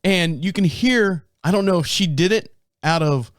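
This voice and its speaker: A man hosting his own podcast in casual talk, a bit raspy from a sore throat, rambling and restarting his sentences. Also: moderate pace, no echo, clean studio sound. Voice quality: deep. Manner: rambling, self-interrupting storyteller. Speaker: podcast host. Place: small podcast studio. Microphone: studio microphone.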